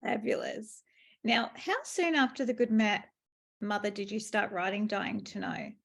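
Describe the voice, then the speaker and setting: A woman's voice: mid-range voice, unhurried, vocal fry, fairly bright tone. A woman hosting a casual book interview, asking questions conversationally. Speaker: podcast host.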